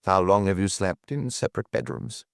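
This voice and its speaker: A man voicing a detective chief inspector in an audiobook, quiet, measured and persistent in his questioning. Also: sits low, creaky, no echo, clean studio sound. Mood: quietly insistent. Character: calm, probing male detective chief inspector.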